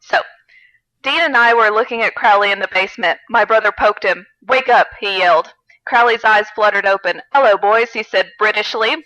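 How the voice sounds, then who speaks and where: A woman's voice: medium pitch, normal speed, pushed and bright, no room sound, rough recording. A woman co-hosting a podcast, giggly and wry as she chats and reads aloud for comedy. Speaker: podcast co-host. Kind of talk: comedic read-aloud of fan fiction with casual chat.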